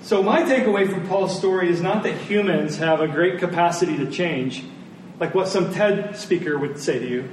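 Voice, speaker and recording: A man's voice: high voice, normal speed. A middle-aged man preaching a sermon in a conversational way, putting rhetorical questions to his listeners. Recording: very echoey room, distant mic, faint noise.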